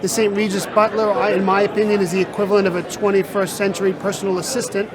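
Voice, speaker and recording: A man with a high, even voice answers questions in a measured, polished sales manner. He is recorded on a busy conference floor with a crowd chattering around him. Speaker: real estate sales director. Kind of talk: interview at a trade event. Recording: loud crowd chatter behind.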